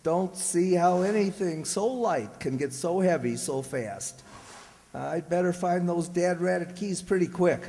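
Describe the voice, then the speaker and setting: Man acting as grouchy husband, complaining, folksy, higher-pitched, radio comedy, echoing hall. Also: expressive, a little slow, mellow. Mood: grumbling. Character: grumbling, exasperated married man shoveling snow.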